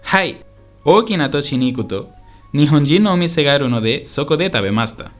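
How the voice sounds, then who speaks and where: A man playing a polite, eager tourist from Spain in a scripted language-lesson radio drama, speaking in simple, clear sentences. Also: on the high side, expressive, fairly quick, faint music behind, lightly acted. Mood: interested, pleased. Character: polite, eager Spanish tourist visiting Osaka.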